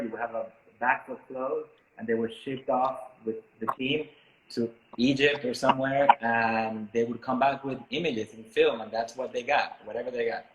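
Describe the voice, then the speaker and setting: Low-voiced, halting man in an echoey room. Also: lo-fi sound, background noise. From Miami, United States, born in Ecuador. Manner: halting, thoughtful, searching for words. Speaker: interviewed photographer and artist. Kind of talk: casual reflective interview talk.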